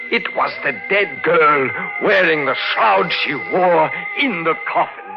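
An actor playing a haunted, fragile gardener with an overwrought, halting delivery in a 1940s radio drama. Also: male voice, lowish voice, full character voice. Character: haunted, fragile gardener widower confessing to madness and killing. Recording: dull old radio broadcast recording.

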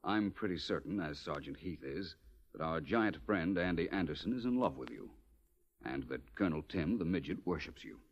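An actor playing a suave, probing gentleman detective, polished and deliberate, in a 1940s radio drama. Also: sits low, expressive. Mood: quiet, probing. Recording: dull old radio recording.

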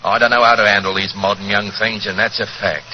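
An actor playing a plain-spoken, protective police constable with a working-class London accent in a 1940s radio drama. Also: male voice, lowish voice, flat delivery, fast, very noisy, close to an everyday voice. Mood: concerned. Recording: dull 1940s radio recording, music behind.

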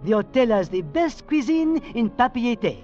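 An actor playing a polite, deferential French hotel waiter with a French accent in an old radio drama. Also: male voice, extremely high pitch, very animated, unhurried, darkish tone, soft background music, exaggerated voice. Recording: muffled vintage radio recording.

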